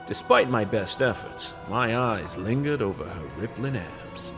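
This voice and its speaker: An actor playing a world-weary, semi-retired goblin detective in a radio play, with wry hardboiled noir delivery. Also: male voice, on the low side, lively intonation, measured pace, a bit bright, soft background music. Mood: brooding, wry.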